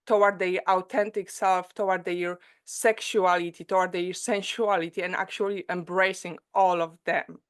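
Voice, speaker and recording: A woman hosting a podcast, welcoming her guest and asking questions in a gentle, unhurried way. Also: lowish voice, little pitch movement, clear, resonant tone. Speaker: podcast host. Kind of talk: interview hosting.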